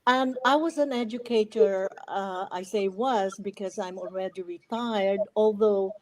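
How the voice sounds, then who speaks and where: A woman in her sixties with a Filipino accent, speaking reflectively and deliberately as an interview guest. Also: mid-range voice, a little mellow, slight background noise, rough recording. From Philippines. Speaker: podcast guest, retired educator.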